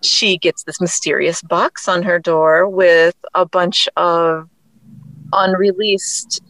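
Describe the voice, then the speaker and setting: A woman's voice: fairly low pitch, steady tone, slow pace, fairly bright tone, no echo, rough recording. Chatty woman writer, close dry sound. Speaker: guest, writer and audio drama creator. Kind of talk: casual interview chat.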